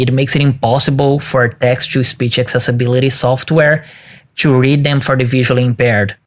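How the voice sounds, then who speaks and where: Man reading a message. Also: medium pitch. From Brazil. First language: Portuguese. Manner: measured, careful and explanatory. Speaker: caller. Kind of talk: reading a prepared listener message.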